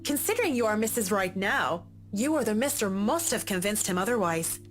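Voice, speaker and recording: An actress voicing a curious, polite young woman inspector in a visual novel, gently probing with her questions. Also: mid-range voice, big pitch swings, fairly quick, soft background music, close to an everyday voice. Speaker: game character, inspector. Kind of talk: voiced visual novel dialogue.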